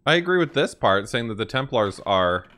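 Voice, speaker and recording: A man's voice: mid-range voice, very animated, moderate pace, a bit bright. A man hosting a gaming reaction, commenting casually in an informal, questioning way.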